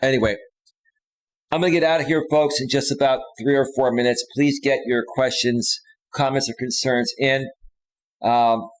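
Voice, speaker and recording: A man's voice: mid-range voice, rough recording. A man hosting a live stream, talking off the cuff and casually, with slangy, repetitive, insistent direct address. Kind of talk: casual off-the-cuff livestream monologue.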